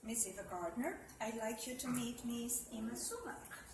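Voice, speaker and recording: A woman's voice: a little high, moderate pace. A woman reading a story's dialogue aloud at a library event, formally announcing introductions. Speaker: co-reader at a literacy event. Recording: echoey room, distant mic, background noise.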